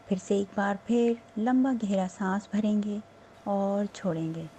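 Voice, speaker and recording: A woman meditation instructor leading a slow guided relaxation in a soothing, unhurried, gently instructing manner with pauses. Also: medium pitch, a little hiss or hum.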